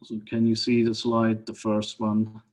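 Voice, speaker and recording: A man's voice: on the low side, monotone, measured pace, darkish tone. A middle-aged man from Switzerland giving a webinar presentation as a guest speaker, talking hesitantly with many fillers and restarts.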